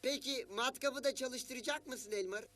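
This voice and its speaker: Sharp, high, timid cartoon child. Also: male voice, moderate pace. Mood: hesitant, eager. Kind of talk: cartoon voice acting.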